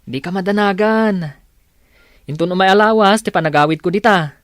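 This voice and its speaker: A man's voice: sits high, moderate pace, a bit bright, no echo, studio quality. An actor with a Filipino accent playing a kind, devoted, teasing man in a radio drama. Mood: friendly, reassuring. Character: kind, devoted man, helpful older brother, teasing suitor.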